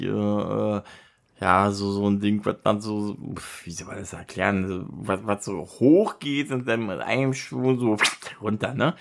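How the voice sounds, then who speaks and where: A man from Berlin chatting casually in colloquial German, rambling and halting as he searches for words and gives his opinions. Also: on the low side, vocal fry. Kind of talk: casual monologue, personal chat.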